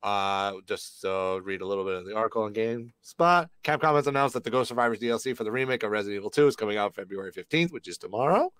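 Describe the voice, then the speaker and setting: Sick, slightly raspy low-voiced man. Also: fast, hardly any pauses, a bit bright, dry recording. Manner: rambling, with many fillers. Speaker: co-host of a gaming livestream. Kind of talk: casual livestream chat and reading news aloud.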